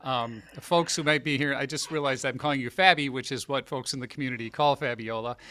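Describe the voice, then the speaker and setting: A man's voice: medium pitch, steady tone, a little fast, pushed and bright, a little hiss or hum. A man hosting a podcast interview, speaking in a friendly, appreciative, easygoing way. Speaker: podcast host, county executive. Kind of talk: casual interview.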